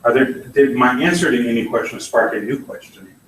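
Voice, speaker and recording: A man's voice: medium pitch, brisk, a bit bright. A man giving a blunt, opinionated conference talk with dry asides. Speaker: conference speaker. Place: conference hall. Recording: echoey hall, mic from far off, faint noise.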